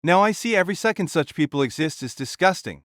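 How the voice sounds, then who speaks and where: A man's voice: on the high side, animated, fast, a bit bright, no echo. Man acting a frank, weary warrior giant, bone-dry sound. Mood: quiet, admonishing. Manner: frank, admonishing, sighing. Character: big gruff warrior king, frank, sighing, protective. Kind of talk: audio drama acting.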